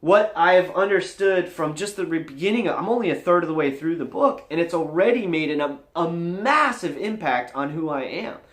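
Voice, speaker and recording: A man's voice: on the high side, animated, normal speed, few pauses, echoey room. A man talking candidly to viewers as a vlog host, earnest, confessional and emphatic, in a motivational style.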